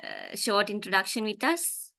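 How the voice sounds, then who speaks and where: A woman with a Sri Lankan accent leading an informal online webinar, speaking in a halting, polite way. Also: mid-range voice, huge pitch swings, gravelly, bright, forceful tone. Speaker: psychological counselor leading a workshop. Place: online video call.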